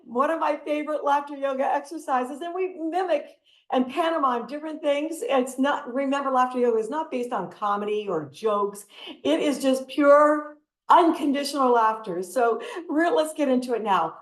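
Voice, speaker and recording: A woman guides breathing and arm movements, often breaking into bursts of laughter. Her voice sits on the higher side. She speaks in an echoey room over a video call. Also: moderate pace. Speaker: laughter yoga instructor. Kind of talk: guided exercise instruction. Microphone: computer mic on a video call.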